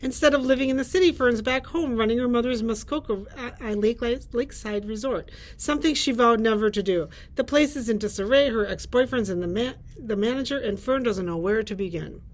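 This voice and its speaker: A woman's voice: a little high, a little fast, hardly any pauses. A middle-aged woman librarian presenting books in a library room, reading summaries aloud. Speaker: librarian presenting book picks. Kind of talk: reading book blurbs aloud with brief chat.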